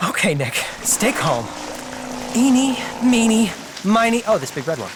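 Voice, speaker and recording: An actor playing an anxious, exclamatory menagerie worker who stammers, in an audio drama. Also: male voice, high-pitched, sing-song, a little slow, heavy background noise. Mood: panicked. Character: frantic, anxious mayonnaise-brewing menagerie worker, prone to panicking.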